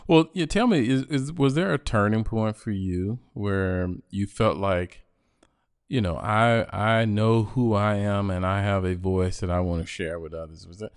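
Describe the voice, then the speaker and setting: A man hosting a podcast interview, speaking haltingly with many restarts and "uh"s. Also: on the low side, clean tone, no room sound, studio quality. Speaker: podcast host. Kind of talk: interview conversation.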